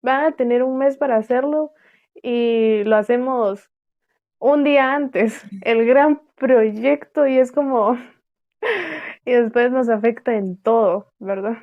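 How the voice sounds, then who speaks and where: A woman's voice: on the high side, slow. Young Guatemalan woman host, tinny phone-like sound. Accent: Guatemalan Spanish. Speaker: podcast host.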